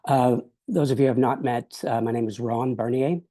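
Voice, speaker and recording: A man who is losing his voice talks over a video call with a dark, mellow tone in a dry, close sound. He halts constantly, filling gaps with "uh" and restarting his sentences. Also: mid-range voice, steady tone, no room sound. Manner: halting, full of "uh" and restarts. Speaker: professor and regional officer. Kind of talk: unscripted remarks on a video call.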